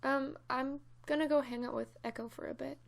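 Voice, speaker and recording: A woman voicing a shy, nervous young female pony with a creaky voice in an animated fan drama. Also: high voice, brisk.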